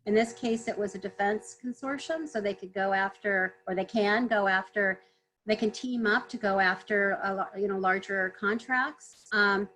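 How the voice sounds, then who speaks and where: A woman guest on a video call webinar, answering earnestly, clearing her throat often as she is losing her voice. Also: medium pitch, moderate pace, a bit bright, poor audio. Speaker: trade consultant guest.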